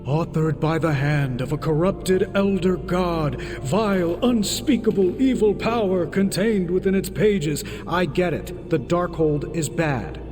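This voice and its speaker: An actor playing a glib, wisecracking genius scientist superhero in a video game. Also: male voice, on the high side, a little slow, in a large hall, over music, close to an everyday voice. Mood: impatient, teasing. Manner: glib, showy. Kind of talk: video game cutscene acting.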